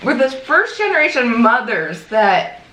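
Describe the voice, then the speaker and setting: A woman in her late thirties chatting casually as a guest, telling stories about her life in an animated way. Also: fairly high pitch, unhurried. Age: 30s. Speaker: guest, fashion YouTuber. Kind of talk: casual chat over a meal. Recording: echoey room, faint background noise.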